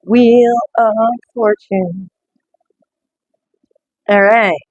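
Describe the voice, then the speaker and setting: A woman with a slightly rough voice, reading oracle cards in a casual live stream and greeting viewers by name. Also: mid-range voice, fairly even intonation, very slow, a little mellow, no room sound, muffled recording. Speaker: oracle card reader.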